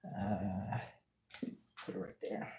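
A man's voice: low-pitched, fairly even intonation, takes it slowly. A man hosting a casual cooking livestream from his home kitchen, talking off the cuff and jokingly as he works. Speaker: cooking show host. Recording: clearly noisy home kitchen livestream audio.

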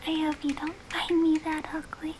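A woman voicing an obsessive, clingy young girlfriend in a whispered ASMR roleplay, breathy, cooing and teasing. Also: sits high, flat delivery, moderate pace, noisy background, close to an everyday voice. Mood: coy.

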